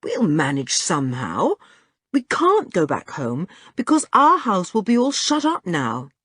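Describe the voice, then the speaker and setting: Older storybook boy, acted by a woman: gently authoritative, calm, husky, dry close sound. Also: on the low side, a little slow, no echo, heavily acted. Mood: sensible. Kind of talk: children's audiobook character voice.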